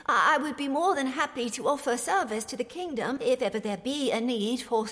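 A high-pitched, flustered medieval peasant. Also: female voice, brisk, fairly bright tone. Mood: flustered, servile. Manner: stammering, nervous, servile. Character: timid, flustered, deferential lowly peasant woman. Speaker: actor in an audio drama. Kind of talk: dramatic audio drama acting. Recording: long reverberant hall.

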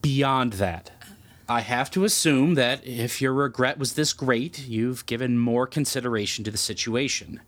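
Guy acting as a young priest in an audio drama, gentle and patient, talks slow, careful with his words. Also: medium pitch, lightly acted. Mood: gently stern. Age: young adult. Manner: gentle, measured, tactfully probing.

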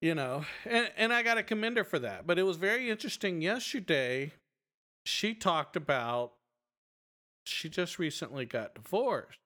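A man's voice: on the high side, normal speed. A middle-aged man with a strong voice, co-hosting a podcast and chatting casually with lots of fillers. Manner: animated storytelling, lots of fillers. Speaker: podcast co-host. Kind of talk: casual chat.

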